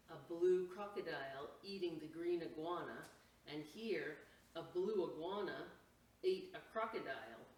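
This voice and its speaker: A woman reading a picture book aloud to children as a storytime reader, expressively and with pauses, adding simple explanations for young listeners. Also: sits low, darkish tone. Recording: roomy, distant mic, faint noise.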